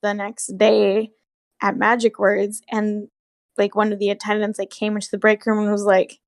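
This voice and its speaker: A woman's voice: medium pitch, monotone, moderate pace, no room sound. A young woman telling stories in a casual, gossipy way, with slangy, sweary speech peppered with "like". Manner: sweary, slangy, heavy use of "like". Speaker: former theme park character performer telling stories.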